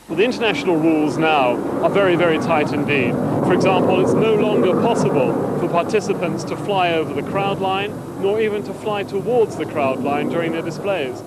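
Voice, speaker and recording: A man's voice: high voice, fairly quick, hardly any pauses. A man giving a spoken interview answer outdoors at an airfield. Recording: very loud engine noise, outdoors.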